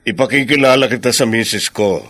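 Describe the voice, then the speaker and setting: A genial married Filipino office boss in a radio drama. His voice sits at a medium pitch. He talks in an easygoing, joking way, as a friendly superior would to staff. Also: a little fast, fairly bright tone. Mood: friendly, teasing. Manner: easygoing, teasing.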